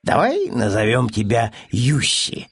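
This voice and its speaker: Man playing a kindly old farmer, very animated intonation. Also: mid-range voice, unhurried, slightly rough, voice pushed down, exaggerated voice. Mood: admiring. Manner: soothing, patient. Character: Pettson, kindly calm old male farmer.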